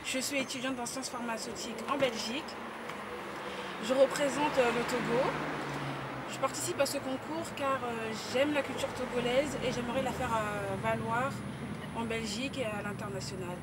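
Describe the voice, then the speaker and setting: A twenty-year-old woman introduces herself in an upbeat way as a pageant contestant. Her voice is fairly high. Loud traffic noise runs behind her. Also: steady tone, moderate pace. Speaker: pageant contestant, pharmacy student. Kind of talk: spoken self-introduction.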